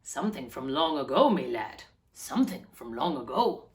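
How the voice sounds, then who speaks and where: A mysterious old man from a children's storybook, acted by a woman. His voice sits low and quiet, like someone used to telling scary stories. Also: big pitch swings, normal speed.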